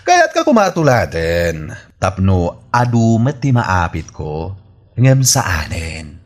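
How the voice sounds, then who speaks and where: An actor with an Ilocano accent playing a needling, skeptical farmer friend in a radio comedy drama. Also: male voice, low voice, wildly swooping pitch, takes it slowly, exaggerated voice. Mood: mocking, needling.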